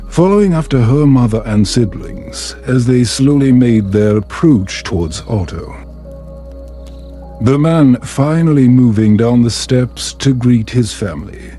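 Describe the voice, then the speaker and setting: A man reading a scripted bedtime story as a narrator, in a calm, measured, soothing way. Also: mid-range voice.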